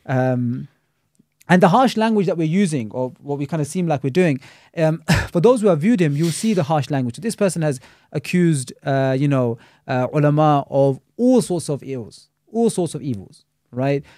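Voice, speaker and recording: A man's voice: a little high, lively intonation, normal speed, warm tone, dry recording, studio quality. A man, an Islamic scholar and teacher, talking critically in a casual podcast discussion, scattering Arabic religious terms through his speech.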